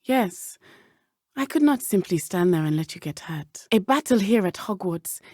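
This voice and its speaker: A woman's voice: medium pitch, lively intonation, normal speed, a little mellow, no room sound, clean studio sound, lightly acted. An actress playing an earnest, brave and loyal teenage witch in a video game, speaking in formal, careful phrasing. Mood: sincere, earnest. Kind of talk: video game character dialogue.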